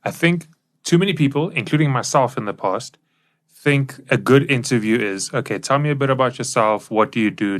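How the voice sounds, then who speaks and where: A man with a mid-pitched voice talks as a podcast co-host. He is conversational and opinionated, explaining his thinking in a casual discussion style.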